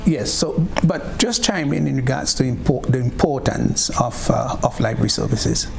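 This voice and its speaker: A man's voice: medium pitch, moderate pace, gravelly, a little hiss or hum. A man, hosting a radio panel, steering guests with brief prompts and short replies. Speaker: radio host.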